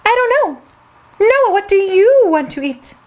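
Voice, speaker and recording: A woman's voice: extremely high pitch, very animated, a little mellow, voice pushed up, full character voice. A woman voicing a friendly male polar bear in a storybook, humming and musing over what to eat. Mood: pondering. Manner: musing with drawn-out hums.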